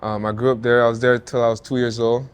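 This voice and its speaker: A man in his thirties with a voice of middling pitch. He talks as an interview guest in a relaxed, earnest, conversational way. He often drops in "you know" and "like" and restarts his phrases. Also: fast, clear, resonant tone. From Jamaica, raised in Toronto. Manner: laid-back and earnest, with frequent "you know" and "like" and some restarts. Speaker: interview guest, former football player and artist. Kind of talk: casual reflective interview talk.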